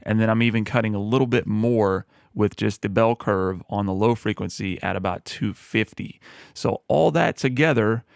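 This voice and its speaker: A man with a low voice, talking through a music mixing tutorial in a relaxed, explanatory way with frequent fillers. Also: normal speed, gravelly, no echo, clean studio sound. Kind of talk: screen-recorded mixing tutorial.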